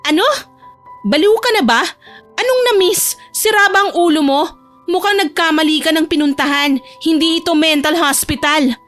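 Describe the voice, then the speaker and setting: A woman voicing a feisty, haughty young woman in a romance audio drama, sharp, indignant and sarcastic. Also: high voice, moderate pace, faint music behind, voice pushed up.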